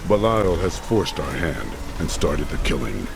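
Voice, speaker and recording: A man's voice: extremely low pitch, very animated, measured pace, gravelly, background noise, full character voice. An actor playing a determined male warrior hero in a video game, speaking firmly and commandingly. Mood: stern. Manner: firm, commanding. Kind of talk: video game cutscene acting. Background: game music.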